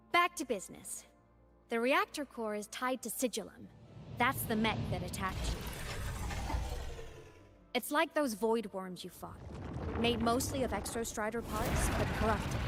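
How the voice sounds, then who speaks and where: A woman voicing a playful, teasing digital ghost girl in a video game, breezy and casual but determined. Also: mid-range voice. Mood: playful, warm, then earnest. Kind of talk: video game story voice acting.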